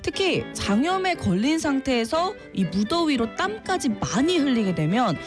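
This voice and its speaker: A woman reporter reading short news items on a radio show, clear and lively, with chatty asides. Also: high-pitched, normal speed, over music.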